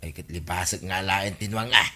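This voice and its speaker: An actor playing a teasing, impulsive village neighbour in an Ilocano radio comedy drama, with lots of exclamations. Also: male voice, low voice, fairly even intonation, normal speed, bright, forceful tone. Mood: scheming.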